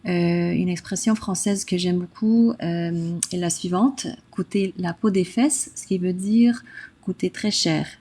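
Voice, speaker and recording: Canadian woman, native English, slow and warm, hesitant prepared message. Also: on the low side. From English-speaking Canada. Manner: careful, with frequent "euh" pauses. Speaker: French learner sharing an expression.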